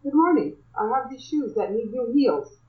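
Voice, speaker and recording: A woman's voice: on the high side, big pitch swings, moderate pace. A woman voicing a poised, proud and elegant young woman customer. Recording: muffled, echoey room.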